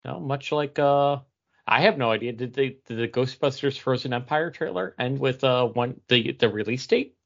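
A man's voice: medium pitch, moderate pace. A man co-hosting a podcast, chatting in a casual, informal style. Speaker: podcast co-host. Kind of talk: casual chat. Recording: dull, lo-fi audio.

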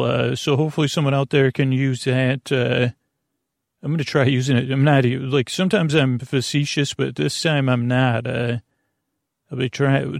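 A man hosting a bedtime podcast in a rambling monologue, halting and often stumbling over his words as he wanders between thoughts. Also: mid-range voice, creaky, no room sound, clean studio sound. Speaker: podcast host.

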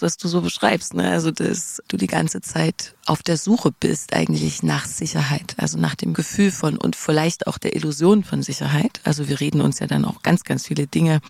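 A woman's voice: deep voice, animated, fairly quick, vocal fry, few pauses, a little mellow, breathy edge, no echo. A woman interviewing in a reflective, conversational way, thinking along and rephrasing what she hears.

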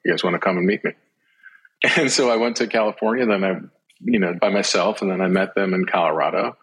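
A middle-aged man as an interview guest, telling personal reflections in a thoughtful, halting way. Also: low voice, fairly bright tone, husky, low-quality recording.

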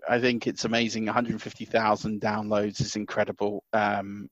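A man co-hosting a podcast from home, talking loudly and excitedly, often restarting his sentences. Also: lowish voice, dry recording, dull audio. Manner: excitable, stumbling restarts, loud. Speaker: podcast co-host. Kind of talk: casual podcast chat. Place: small house.

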